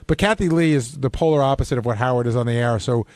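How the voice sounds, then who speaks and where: A man's voice: mid-range voice, fast, a little mellow, no room sound. A man chatting casually and bluntly on a radio panel, swearing freely as he gives his opinions. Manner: blunt, profane, conversational. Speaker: radio show panelist and staffer. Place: radio studio.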